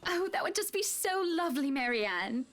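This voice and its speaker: High-voiced, gushing lady of the manor. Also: big pitch swings, moderate pace, a bit bright. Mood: gushing, hospitable. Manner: gushing, hostess-like, teasing. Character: gracious, teasing wealthy lady of the manor. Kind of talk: visual novel game voice acting.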